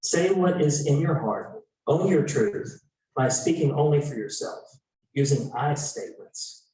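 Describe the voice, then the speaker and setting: A man co-facilitating an event, reading prepared discussion guidelines in measured, short phrases. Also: on the high side, expressive, mellow. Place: indoor event room. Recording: very echoey room, distant mic.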